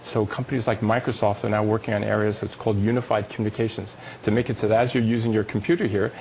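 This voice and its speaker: A man's voice: lowish voice, little pitch movement, fast talker, darkish tone, rough recording. A man being interviewed as a company executive on a live business TV show, explaining technology with emphatic enthusiasm.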